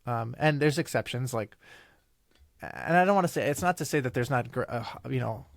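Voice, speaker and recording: Gravelly, thoughtful man in his thirties. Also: medium pitch, expressive, fairly quick, no room sound, clean studio sound. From Italy. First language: Italian. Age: 30s. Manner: thoughtful, rambling with restarts. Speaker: podcast guest, songwriter. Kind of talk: casual interview chat.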